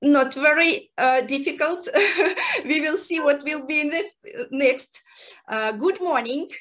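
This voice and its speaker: A woman's voice: high-pitched, lively intonation, slow pace, a bit bright. A woman who is not a native English speaker, giggly and cheerful, chatting casually in a language tutorial as host and learner. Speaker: amateur radio host learning Japanese.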